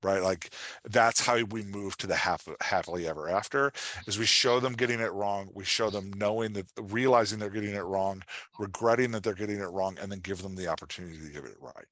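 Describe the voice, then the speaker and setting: A man's voice: on the low side, fairly quick, fairly bright tone, no echo. A man teaching live online as a writing coach, explaining in a conversational, encouraging way and repeating phrases for emphasis. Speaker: writing coach answering audience questions.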